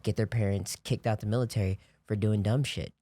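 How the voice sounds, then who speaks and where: A man with a lowish, mellow voice tells stories in a loose, rambling way, often restarting and filling with "you know, like." It's relaxed podcast talk, recorded dry and close. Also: fairly quick, no echo. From grew up on US military bases in Japan. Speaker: podcast guest. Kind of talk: casual chat.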